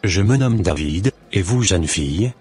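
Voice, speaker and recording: Man voicing a devout young cartoon suitor, deep, husky, measured and earnest. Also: animated, a little mellow, soft background music, full character voice.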